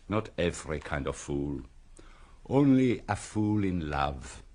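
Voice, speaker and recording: An actor in a radio drama playing a shrewd, courteous detective, formal, deliberate and slyly knowing. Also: male voice, low voice, sing-song, a little mellow, slight background noise, full character voice. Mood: amused, sly. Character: shrewd, courteous, self-satisfied Belgian detective.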